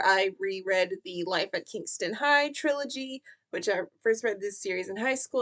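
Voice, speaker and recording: Casting: female book vlogger, higher-pitched voice, informal and chatty review delivery, hesitant phrasing that tends to trail off. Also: a bit bright.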